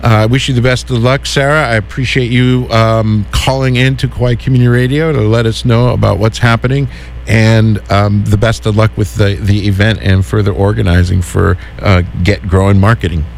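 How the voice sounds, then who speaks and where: A man's voice: lowish voice, normal speed, gravelly, slight background noise. Easygoing male community radio host. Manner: relaxed, hesitant, many fillers. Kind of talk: community radio call-in interview.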